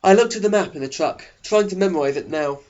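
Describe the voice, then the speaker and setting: Male narrator for spoof audiobook: fairly high voice, sarcastic, overplayed delivery, slightly dull lo-fi sound. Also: animated, fast, a little mellow.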